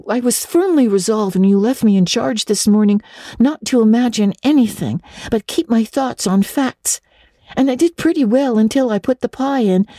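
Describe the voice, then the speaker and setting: A woman's voice: on the high side, mellow, dry recording, studio quality, natural voice. A woman voicing an earnest, romantic young girl in a dramatic storybook reading, gushing and breathless, tripping over eager repetitions. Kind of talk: dramatic audiobook reading of classic children's literature.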